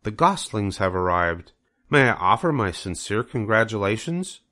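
A man's voice: on the low side, sing-song, normal speed, bright, forceful tone. A man voicing a kindly, formal female spider in a storybook. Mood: announcing.

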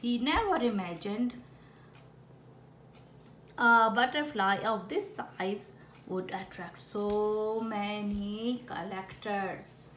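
A woman's voice: medium pitch, slow, fairly bright tone. A woman reading a picture book aloud expressively, with exaggerated storytelling and playful asides for children. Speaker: children's story reader with a frog puppet. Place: indoors at a wooden table. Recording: roomy home recording with background noise.

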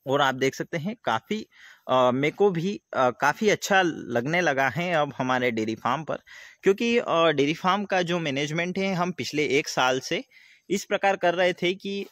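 A young man, a dairy farmer giving a casual, halting walkthrough and often repeating himself as he explains. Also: fairly high pitch, a bit bright, no room sound, clean studio sound. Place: outdoors in an open-sided farm shed. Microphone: phone at arm's length.

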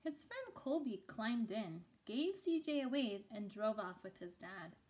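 A woman's voice: fairly high pitch, sing-song, a little slow, a little mellow. A woman in her thirties reading a children's story aloud indoors. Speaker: picture book reader. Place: small room indoors.

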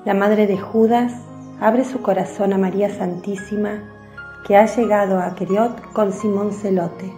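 A woman's voice: medium pitch, steady tone, measured pace, mellow, faint music behind. A woman reading scripted narration for a religious radio drama. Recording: roomy, echoing voice track.

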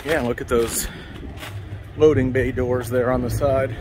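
A man strolls through town and narrates for the camera in a relaxed, musing voice, pointing things out as he wanders. The voice sits at an ordinary middle pitch. Cars and street sounds come through clearly behind him. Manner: relaxed, musing, slow and meandering. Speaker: walking tour vlogger. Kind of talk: casual walking-tour narration. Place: outdoors on city streets. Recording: outdoor street noise behind. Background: passing cars and street ambience.

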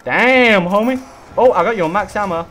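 A young man hosting a casual co-op zombie game session, excitable and chatty, bursting into loud hype over his weapon. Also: high voice, moderate pace, slight background noise. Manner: excitable, hypes gun, swears mildly. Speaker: gaming channel host. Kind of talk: casual co-op gameplay chat.

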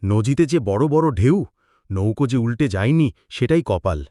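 A man voices a hard-working fisherman father in a village cartoon. His pitch moves freely, and the recording is close and dry. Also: expressive, moderate pace, mellow, dry recording, close to an everyday voice. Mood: weary, complaining. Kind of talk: cartoon voice acting.

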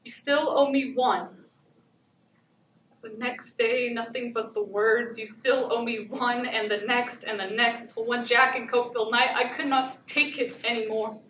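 A teenage female student playing a haunted, stoic abused wife, speaking in stark, deliberate phrases in a stage monologue. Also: fairly high pitch, steady tone, fairly bright tone, close to an everyday voice. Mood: intense, bitter. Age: teens. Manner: deliberate, incantatory repetition with short stark phrases. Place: theatre stage before an audience. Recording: echoey theatre, distant camera, background noise.

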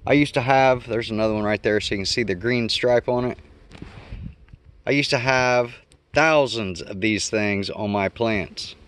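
A man's voice: medium pitch, unhurried, fairly bright tone, clear, resonant tone. A man giving a casual, unscripted explanation outdoors, thinking aloud and correcting himself as he goes. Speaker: homestead vlogger. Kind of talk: casual unscripted explainer while walking around the yard. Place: outdoors in a garden yard.

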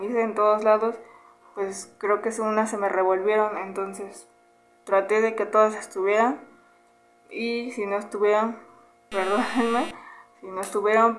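A woman's voice: mid-range voice, poor audio. Chatty young Mexican woman vlogger. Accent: Mexican Spanish. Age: 20s. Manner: relaxed, chatty, hums "mm" between questions. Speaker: YouTuber. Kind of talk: casual Q&A vlog. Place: small bedroom indoors.

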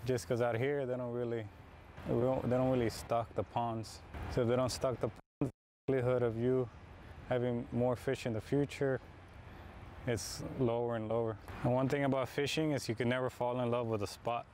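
Male, 30s, casual fishing vlogger, mid-pitched, camera mic outdoors, audible background noise. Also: little pitch movement, moderate pace, a little mellow. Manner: laid-back, explains as he fishes. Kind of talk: casual chat while fishing. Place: outdoor park pond.

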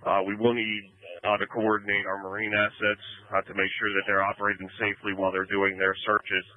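A man working as fire incident commander, giving measured, procedural instructions over emergency radio. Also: on the low side, completely flat delivery. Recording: phone-like emergency radio transmission.

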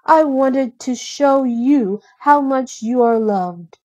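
A woman's voice: sits high, slow pace, mellow, no echo, pitched above the performer's own voice. A woman voicing a sweet, happy young bride princess in a storybook, gushing and earnest. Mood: delighted. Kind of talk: storybook character reading.

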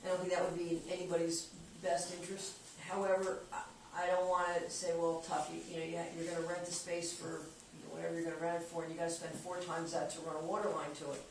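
A plain-spoken official talks through a report at a board table, circling back and restarting as they think. The sound comes from a camera across a big echoey room with a steady noise underneath. The voice sits at an ordinary middle pitch. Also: fast, vocal fry, hardly any pauses, far from the mic. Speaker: water department official giving a report. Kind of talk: casual meeting report. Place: meeting room around a conference table. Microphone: far camera.

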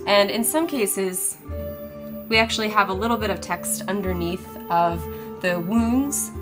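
A woman in her thirties, co-hosting a board game show, explaining rules in a clear, upbeat, instructive way. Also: mid-range voice, a little slow, over music. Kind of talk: rules explanation and casual play chat. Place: home studio set with brick wall, indoors.

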